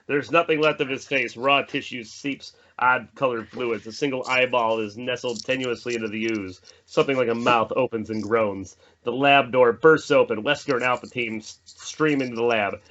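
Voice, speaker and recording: A man's voice: mid-range voice, fairly bright tone. A man reading out the stage directions of a horror screenplay as narrator at a table read. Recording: slightly dull mic, faint noise.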